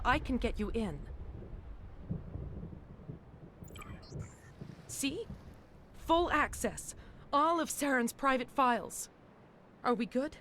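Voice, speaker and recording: An actress playing a frightened alien scientist in a video game, nervous and eager to explain herself. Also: female voice, a little high, fairly bright tone, a little hiss or hum, close to an everyday voice. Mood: anxious, bargaining. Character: frightened alien woman scientist, anxious to escape.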